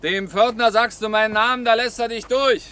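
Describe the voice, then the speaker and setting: Chatty truck driver amid road noise. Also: male voice, very high voice, steady tone, a little fast, sharp tone. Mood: amused, teasing. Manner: folksy, talkative, tags sentences with questions. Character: chatty, teasing truck driver and family man. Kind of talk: German radio play acting. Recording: clear vehicle and outdoor noise behind.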